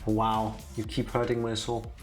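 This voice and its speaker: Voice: mid-range voice, monotone, measured pace. Playfully opinionated streamer chatting over music. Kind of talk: casual chat before a game stream. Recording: music playing behind the voice.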